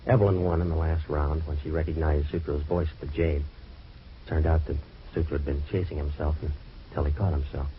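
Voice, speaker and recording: A very low-voiced, hard-boiled male detective, terse and deadpan. He tells his case wryly in old radio drama style. The recording is dull and noisy. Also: fairly quick. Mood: wry, matter-of-fact.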